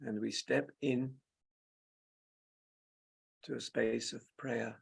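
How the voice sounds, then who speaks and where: A man leading a guided meditation as a teacher, speaking in short, unhurried phrases with long pauses, his voice gravelly and husky. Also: medium pitch, fairly even intonation. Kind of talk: guided meditation, spoken slowly and softly.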